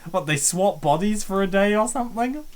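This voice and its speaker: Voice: mid-range voice, expressive, brisk. Jokey, laughing let's-play commentator. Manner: jokey, laughing, loose and chatty.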